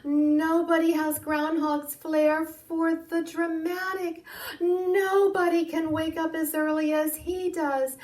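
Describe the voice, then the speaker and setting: A woman's voice: very high voice, level tone, slow pace, darkish tone, voice pushed up. A woman voicing a flustered, dramatic town mayor who makes exclamatory announcements in a storybook reading. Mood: earnest, dramatic. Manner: theatrical, exclamatory. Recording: roomy echo, distant microphone.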